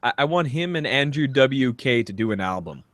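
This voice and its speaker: A man's voice: medium pitch, expressive, normal speed, dry recording. A man co-hosting a comedy podcast, bantering casually and doing comic readings. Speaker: podcast co-host.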